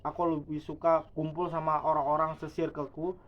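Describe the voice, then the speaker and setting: A man's voice: a little high, little pitch movement, fairly quick. A man in his late twenties talking casually and reflectively to his viewers in a vlog. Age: 20s. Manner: chatty, reflective, addresses viewers as guys. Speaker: YouTuber. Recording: dull lo-fi sound.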